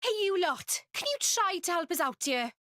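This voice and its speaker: Impatient young game hero boy: high, very lively, blunt British slang, dry sound. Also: normal speed, dry recording, full character voice. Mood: urgent. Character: impatient, earnest, plucky teenage boy. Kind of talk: voiced game cutscene acting.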